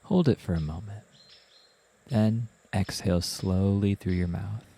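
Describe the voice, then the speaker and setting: A man narrating a guided meditation as the guide, in a soothing, gentle manner with unhurried instructions. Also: fairly low pitch, gravelly, husky.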